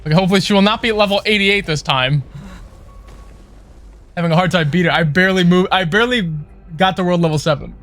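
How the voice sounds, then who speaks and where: A man's voice: sits high, fast, pauses often, a bit bright. A man livestreaming a video game with casual, foul-mouthed, exasperated commentary. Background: video game music.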